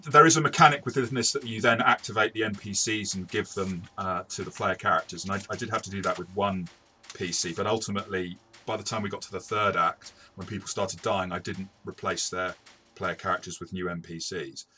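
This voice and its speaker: A man's voice: deep voice, steady tone, a little fast, pushed and bright, a little hiss or hum. A man chatting through a tabletop game review as its game master, hesitant and often repeating words as he goes. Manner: hesitant, stop-start delivery with repeated words.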